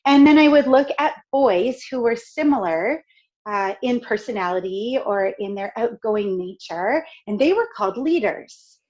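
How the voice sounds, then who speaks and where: A middle-aged woman from Canada with a raspy, crackly voice, talking in an outgoing, expressive way as a podcast guest. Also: fairly high pitch, measured pace, lo-fi sound. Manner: outgoing, expressive, laughs often. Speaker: podcast guest, speaker and author. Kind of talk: upbeat conversational interview.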